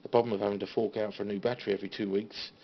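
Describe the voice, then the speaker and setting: A British man talks in a low, creaky voice, giving an informal show-and-tell in a halting, understated way. The sound is a dull phone recording with faint noise behind him. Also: flat delivery. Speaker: CB radio hobbyist giving a tour of equipment. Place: small radio room at home. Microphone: handheld phone.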